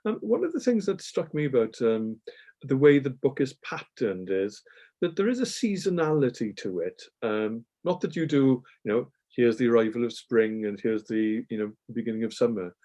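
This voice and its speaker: Male interviewer, mellow tone, measured and unhurried in his questions. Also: medium pitch, lively intonation. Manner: measured, long winding questions with ums.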